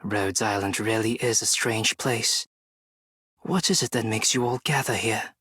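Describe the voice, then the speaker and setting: A man's voice: on the low side, measured pace, fairly bright tone, husky, dry recording, studio quality. A man voicing a wry, understated, detached male agent in a video game. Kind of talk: video game character voice line.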